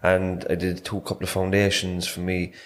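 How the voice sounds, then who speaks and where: A man's voice: sits low, monotone. A man with a Cork Irish accent hosting a podcast, chatting casually and asking tag questions. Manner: quick, frequent backchannels and tag questions. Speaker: podcast host. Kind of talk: casual chat.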